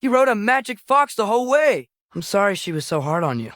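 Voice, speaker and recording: A man's voice: high voice, very animated, a little fast, fairly bright tone, no room sound, clean studio sound. A young male actor playing an earnest, curious, impulsive teenage boy in a Norse myth video game, speaking naturally with a hesitant, boyish manner. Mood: playful, competitive, then gentle, awkward.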